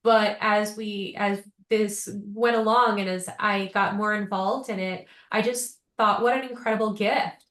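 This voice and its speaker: A woman's voice: mid-range voice, steady tone, measured pace. A woman speaking tenderly and gratefully over a video call. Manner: tender, soft-spoken, grateful. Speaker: guest singer. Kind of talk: casual chat on a video call. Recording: echoey room.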